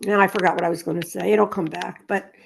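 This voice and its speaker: A woman's voice: fairly low pitch, fairly even intonation, fast talker, vocal fry, a little hiss or hum. A woman leading a spiritual study group, reading aloud and talking things through informally. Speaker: study group reader and discussion leader.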